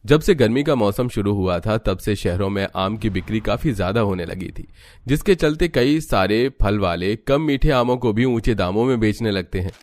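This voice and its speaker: A man narrating a cartoon story in an even, storytelling manner. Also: lowish voice, normal speed.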